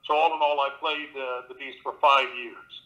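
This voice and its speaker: A man with a big, bright, forward voice, chatting casually as an interview guest. He wanders through his stories, restarting sentences and filling gaps with "uh". His sound comes over a thin remote-call connection. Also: mid-range voice, moderate pace, muffled recording. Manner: relaxed storytelling with many fillers and restarts. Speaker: guest actor and audiobook narrator. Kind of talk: conversational interview.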